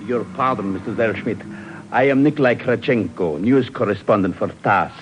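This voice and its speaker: An actor playing a sly, flattering Russian newsman, formal and insinuating, in a 1950s radio drama. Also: lowish voice, moderate pace, close to an everyday voice. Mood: sly flattery. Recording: hissy, dull 1950s radio recording.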